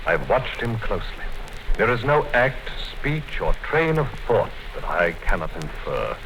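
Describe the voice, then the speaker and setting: A cold, commanding party official speaks in a low, measured voice that rises and falls freely. His talk is calm and quietly menacing. The sound is an old radio broadcast with a faint hiss. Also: full character voice, poor audio. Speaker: actor. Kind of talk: 1950s radio drama acting.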